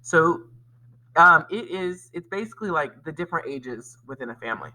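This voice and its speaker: A man's voice: a little high, animated, normal speed, sharp tone, poor audio. A man teaching young pupils on video, speaking to them directly and reading aloud expressively. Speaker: elementary school teacher. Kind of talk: video lesson and read-aloud for children.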